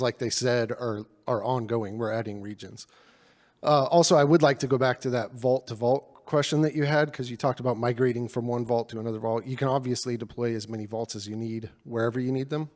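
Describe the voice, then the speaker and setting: A man's voice: mid-range voice, a little mellow. Man answering questions in a reverberant hall. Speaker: vault solution engineer. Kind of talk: conference Q&A answer. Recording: long reverb of a conference hall.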